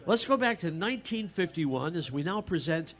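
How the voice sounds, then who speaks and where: A man's voice: a little high, expressive, moderate pace, fairly bright tone. A man hosting a live event, introducing the show and trading playful banter. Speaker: event host. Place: live before an audience.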